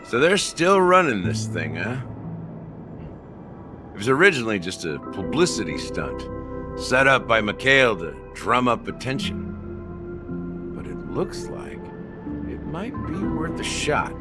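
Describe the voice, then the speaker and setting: A man's voice: medium pitch, big pitch swings, measured pace, long pauses, music in the background. An actor playing a laid-back, world-weary man who talks in a dry, easygoing drawl in a game. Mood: wry.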